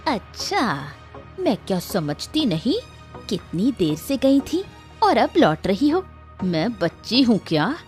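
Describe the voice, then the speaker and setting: A woman's voice: mid-range voice, sing-song, takes it slowly, a little mellow, soft background music. A woman voicing a cruel, bossy young daughter-in-law with a scornful, scolding manner in an acted story. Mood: accusing, angry. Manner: scolding, taunting. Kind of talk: Hindi audio story acting.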